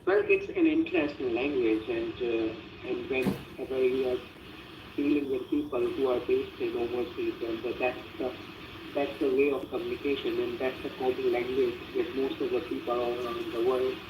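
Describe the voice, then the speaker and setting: A man's voice: medium pitch, fairly even intonation, moderate pace, echoey room, recorded from a distance, noisy background. A man whose first language is Hindi, answering practice speaking questions on a video call in loose, filler-heavy sentences. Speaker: IELTS speaking practice candidate. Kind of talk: online practice speaking session.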